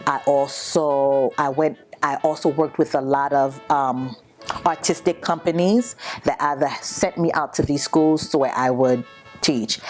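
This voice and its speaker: A woman from the United States with a low voice talks steadily and earnestly about her teaching work. She answers an interviewer's questions. Music plays faintly behind her. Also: darkish tone. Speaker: dance educator.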